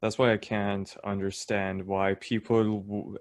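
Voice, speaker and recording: A man's voice: fairly low pitch, level tone, unhurried, dry recording. Rambling young man on a podcast. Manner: rambling, laughs often, jokey tangents. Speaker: podcast co-host. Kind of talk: casual chat.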